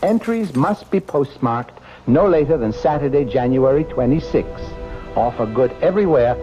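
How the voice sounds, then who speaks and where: A man reading an earnest, measured on-air contest announcement as a radio producer. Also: mid-range voice, lively intonation, low-quality recording. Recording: vintage radio broadcast with music.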